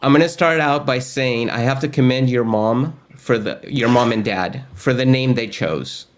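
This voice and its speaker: Chatty host, middling voice. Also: male voice, mid-range voice, normal speed. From Argentina. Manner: upbeat, chatty, many fillers. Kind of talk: casual webinar hosting. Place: home studio with gaming chair.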